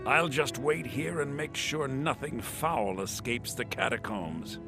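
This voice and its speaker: A man playing an earnest, troubled priest of the dead in a fantasy game, solemn and theatrical, with a gravelly voice. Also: medium pitch, a little slow, music in the background, natural voice. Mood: worried, pleading. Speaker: voice actor in a fantasy video game.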